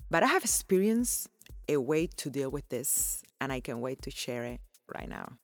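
A woman's voice: a bass voice, sing-song, normal speed, soft background music. A woman in her forties from Cuba, speaking, hosting her own podcast in an effusive, earnest way and laughing often. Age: 40s. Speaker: podcast host. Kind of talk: personal podcast monologue.

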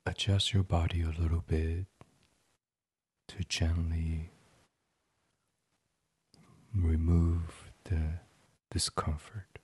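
A man leading a sleep meditation in an ASMR style, gentle and soothing, breathy and often half-whispered. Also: sits low, no echo. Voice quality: breathy, hushed. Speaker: guided meditation host.

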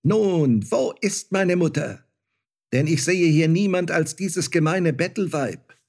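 A man's voice: mid-range voice, animated, measured pace, darkish tone, breathy edge. A man voicing a proud, cruel young boy who mocks others in a fairy tale. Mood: scornful. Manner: taunting, contemptuous.

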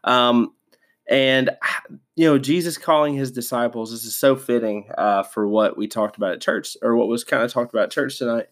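A man's voice: mid-range voice, moderate pace. A man hosting a devotional podcast, stuffed up from a sinus infection, talking casually with many fillers and restarts. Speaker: podcast host.

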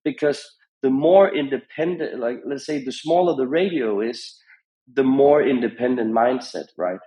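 A man giving conversational advice as a podcast guest, in halting phrases with frequent repetitions. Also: mid-range voice, mellow, poor audio. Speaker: podcast guest, founder of a radio monitoring company.

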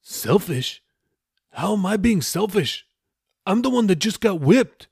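A man's voice: high voice, big pitch swings, measured pace, creaky, darkish tone, slightly rough, no echo, clean studio sound, pitched above the performer's own voice, full character voice. A man voicing a reformed tough young male sailor in an audiobook, steadfast and earnest, reasoning in slangy speech. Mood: earnest protest.

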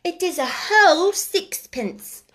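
A woman acts out a little boy from a storybook, earnest and big-hearted. The voice sits very high and comes out slow and loud, with stout, emphatic insistence on every phrase. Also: lively intonation, full character voice. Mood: benevolent, insistent. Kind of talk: storybook character voice.

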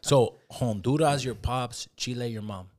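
A man's voice: medium pitch, measured pace, darkish tone. A man hosting a casual interview, asking questions in a laid-back, slangy way and reacting often. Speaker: interviewer host.

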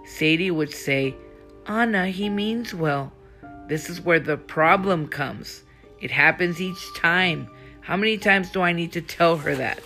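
Fuming protective friend, read by a woman, gravelly edge, music underneath. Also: deep voice, steady tone, measured pace, fairly bright tone. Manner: bitter, fuming inner monologue.

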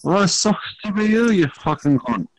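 A man's voice: high voice, sing-song, normal speed, a little hiss or hum. Blunt, teasing teen guy with an irish accent. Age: teens. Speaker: podcast host. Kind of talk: casual chat. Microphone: home microphone.

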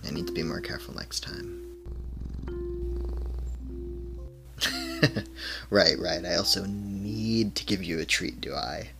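A man playing a fussy, whimsical podcaster who mutters softly and playfully to himself and his cat in an audio roleplay. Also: low-pitched, normal speed, creaky, over music. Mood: playful, sheepish. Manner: soft-spoken, playful muttering to himself and a pet.

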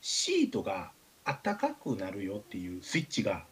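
A man's voice: fairly low pitch, huge pitch swings, normal speed, husky. A man with a Kansai accent chatting casually and telling anecdotes, acting out the exchanges he quotes. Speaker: radio show talker, writer.

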